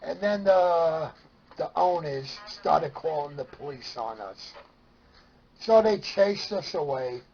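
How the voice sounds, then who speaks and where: A middle-aged man with a Brooklyn New York accent and a husky, gravelly voice, casually reminiscing in a plainspoken, meandering way. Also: on the high side, lively intonation, slow, darkish tone, dull audio. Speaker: storyteller sharing memories of CB radio.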